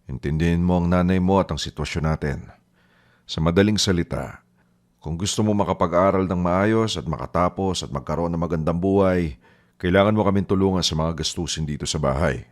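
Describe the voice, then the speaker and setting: An actor playing a gentle, apologetic middle-aged father who has fallen ill, speaking earnestly. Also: sits low, brisk, close to an everyday voice. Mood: firm. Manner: earnest, pleading. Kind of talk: radio drama acting.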